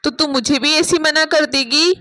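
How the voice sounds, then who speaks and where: A woman's voice: high-pitched, unhurried, pushed and bright, no room sound, clean studio sound. A woman voicing a firm, kindly older mother-in-law with a motherly manner in a cartoon family story. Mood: reproving. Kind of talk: Hindi cartoon moral story acting.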